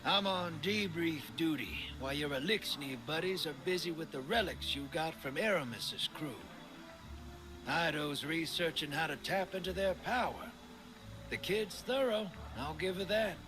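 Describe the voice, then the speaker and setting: An actor playing a breezy, wisecracking adventurer in a video game cutscene, with a casual, chummy way of talking. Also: male voice, a little high, normal speed, natural voice, low-quality recording. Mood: playful, upbeat. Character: breezy, wisecracking male adventurer on debrief duty. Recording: music behind the voice. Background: background music.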